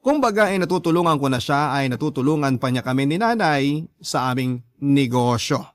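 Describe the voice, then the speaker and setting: A man hosting a radio love story show, reading a scripted letter dramatically in measured, pause-filled storytelling phrases. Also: mid-range voice, lively intonation, no room sound, studio quality.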